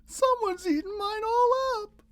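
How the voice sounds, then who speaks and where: A woman voicing an excitable little panda child in a storybook reading. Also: extremely high pitch, unhurried, voice pushed up. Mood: wailing, upset.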